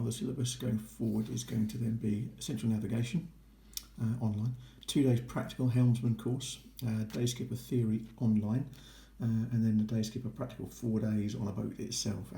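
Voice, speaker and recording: Low, even-toned man, roomy sound. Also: moderate pace, creaky. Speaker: vlogger documenting a boating course. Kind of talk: casual explanatory walkthrough. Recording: roomy echo with faint noise.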